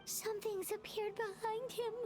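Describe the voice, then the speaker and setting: A woman voicing a tiny, excitable, childlike fairy companion in a video game, with a squeaky voice. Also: moderate pace. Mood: excited, alarmed. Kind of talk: video game character acting. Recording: music behind the voice.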